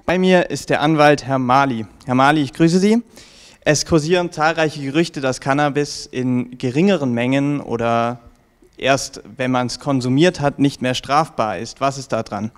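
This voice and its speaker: A man's voice: mid-range voice, moderate pace, smooth, faint music behind. A young man presenting and asking prepared interview questions as a TV host into a handheld microphone. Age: young adult. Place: small indoor information room with bookshelves. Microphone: handheld reporter microphone.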